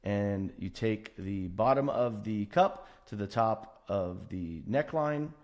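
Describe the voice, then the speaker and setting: Low-pitched man giving emphatic chart commentary. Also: animated, takes it slowly, a little mellow. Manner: emphatic, repeats intensifiers, checks in with "right?". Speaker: stock trading analyst presenting a chart breakdown. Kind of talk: unscripted chart walkthrough commentary.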